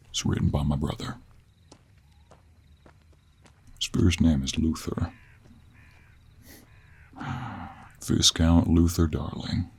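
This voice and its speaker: A man playing a gruff, gentlemanly cowboy monster hunter in an intimate audio roleplay, with an American Western accent, a gravelly, husky voice and a slow, courteous drawl. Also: a bass voice, full character voice. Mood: confiding, troubled. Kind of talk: intimate one-sided audio roleplay acting.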